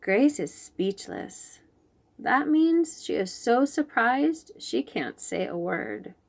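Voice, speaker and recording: Expressive woman reading a picture book. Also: fairly high pitch, takes it slowly, creaky. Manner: expressive, animated. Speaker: children's book reader. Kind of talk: read-aloud storytelling for young children.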